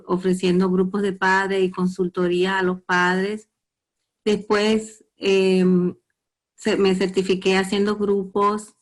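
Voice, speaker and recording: A middle-aged woman from the Dominican Republic with a Dominican Spanish accent, a psychotherapist who is a guest on a radio interview, speaking calmly and steadily with some small hesitations. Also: medium pitch.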